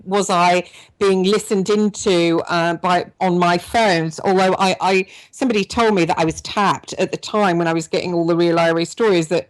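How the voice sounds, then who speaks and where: A middle-aged woman hosting a radio interview, talking in long rambling stretches full of restarts and repeated words. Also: fairly low pitch. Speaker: radio show host. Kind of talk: conversational interview.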